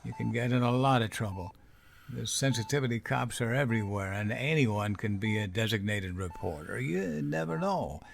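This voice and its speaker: Weary old grandfather, heavy vocal fry, gentle, cautioning, wistful, audio drama. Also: medium pitch, expressive, normal speed. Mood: worried, fond. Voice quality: gravelly, creaky.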